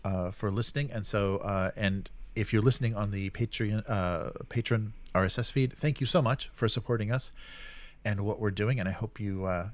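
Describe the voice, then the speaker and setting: A man's voice: on the low side, normal speed. A man hosting a live stream in casual chat, rambling hesitantly and often trailing off mid-sentence.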